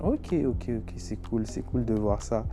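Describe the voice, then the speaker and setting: A man's voice: mid-range voice, lively intonation, measured pace. A man hosting a podcast, chatting informally and often repeating his words and phrases. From France, Paris suburbs. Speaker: podcast host. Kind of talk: casual interview chat.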